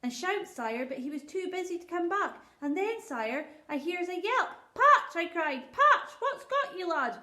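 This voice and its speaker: A woman's voice: very high-pitched, animated, normal speed, few pauses, fairly bright tone, pitched above the performer's own voice. A woman voicing an old male shepherd who speaks in a rustic dialect and pleads earnestly. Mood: fearful. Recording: roomy echo.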